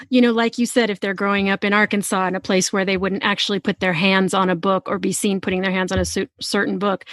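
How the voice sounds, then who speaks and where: Dry close recording, female podcast host, mid pitch, effusive, casual interviewing. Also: dry recording.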